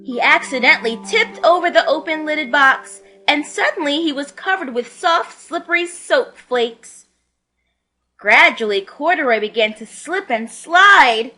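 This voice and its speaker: A woman narrates a children's storybook. Her voice is bright and very animated, sitting a little high, with music playing along. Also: a little slow. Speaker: storybook reader. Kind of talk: expressive children's read-aloud narration.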